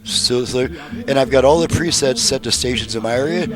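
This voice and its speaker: A man casually demonstrating his repair work as the host of a video, chatty and folksy, with hesitant pauses. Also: medium pitch, a loud crowd, poor audio. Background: car radio playing music.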